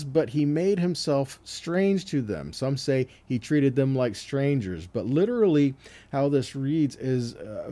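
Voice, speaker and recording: Male Bible teacher for scripture sermon: mellow tone, very animated intonation, explanatory delivery with frequent check-ins. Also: mid-range voice. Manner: explanatory, reads verses aloud and checks in with "okay" and "right".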